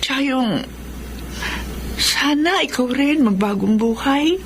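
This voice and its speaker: A woman's voice: high-pitched, animated, slow, a little hiss or hum, full character voice. An actress playing a devout older mother in a Filipino radio drama, hesitant, earnest and emotive. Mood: earnest pleading. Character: devout, anxious, pleading elderly mother. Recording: music behind the voice.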